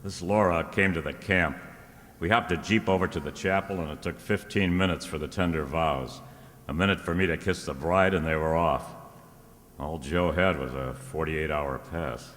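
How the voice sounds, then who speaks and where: A man playing an easygoing, steady private detective in a radio drama, with a colloquial, reassuring way of taking charge. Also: a bass voice, moderate pace. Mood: wry, nostalgic. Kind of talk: old-time radio drama acting. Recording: roomy hall with long reverb.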